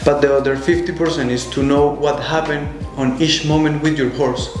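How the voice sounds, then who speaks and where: A young adult Colombian man with a Spanish accent, speaking thoughtfully as an interview guest in halting non-native English. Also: fairly high pitch. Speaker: guest, show jumper. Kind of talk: conversational interview. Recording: echoey room, faint music.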